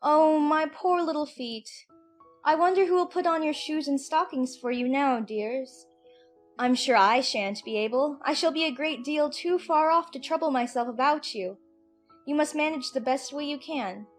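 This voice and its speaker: A woman's voice: sits high, moderate pace, soft background music, natural voice. A woman playing a curious, chattering young girl who muses aloud to herself in a dramatized audiobook. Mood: fretful, musing. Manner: talking to herself, musing aloud. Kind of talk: dramatized audiobook character acting.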